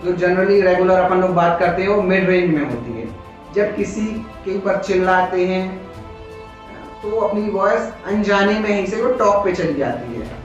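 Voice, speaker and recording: A man's voice: sits high, moderate pace. A man with an Indian accent lecturing informally like a classroom teacher, explaining by asking questions and answering them himself. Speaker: teacher, coaching instructor. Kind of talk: informal classroom-style lecture, mixing Hindi and English. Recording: echoey room, distant mic, dull sound, background music.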